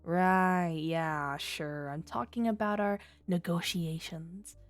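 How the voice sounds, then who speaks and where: A woman's voice: on the low side, fairly even intonation, slow pace, soft background music. A woman playing a flirty, teasing female criminal who toys with a detective in a coy, sultry ASMR roleplay. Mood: seductive, teasing. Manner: coy, sultry, playful innuendo.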